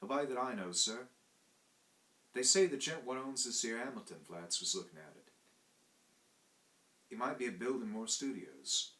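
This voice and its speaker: A man voicing a blunt young Cockney servant in a read-aloud story, in rough working-class speech full of "sir". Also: mid-range voice, expressive, moderate pace, pauses often, pushed and bright, pitched above the performer's own voice. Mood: grumbling. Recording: roomy echo.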